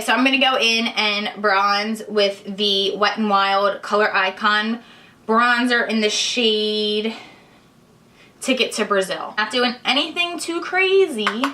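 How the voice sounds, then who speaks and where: A young woman, hoarse and congested, chatting casually while she explains each step of her makeup tutorial. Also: mid-range voice, bright, forceful tone. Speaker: beauty vlogger. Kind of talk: chatty get-ready-with-me tutorial.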